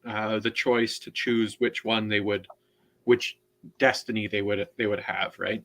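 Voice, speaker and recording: Slow, halting man explaining lore. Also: on the low side, level tone, vocal fry, fairly bright tone. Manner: halting and explanatory, many restarts and "right?". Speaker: co-host and panelist. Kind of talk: casual online book discussion.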